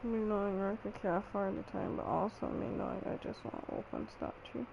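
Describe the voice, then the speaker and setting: A woman giving casual gameplay commentary, thinking aloud as she plays, in a creaky voice. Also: mid-range voice, fairly quick, dull audio, background noise. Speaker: gaming streamer. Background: loud game music.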